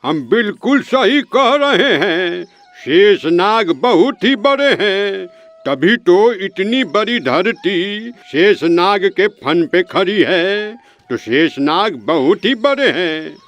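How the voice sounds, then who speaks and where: High-voiced, exasperated village priest played by a man. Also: animated, slow pace, a bit bright, full character voice. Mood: earnest explaining. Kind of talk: Hindi comic cartoon story acting.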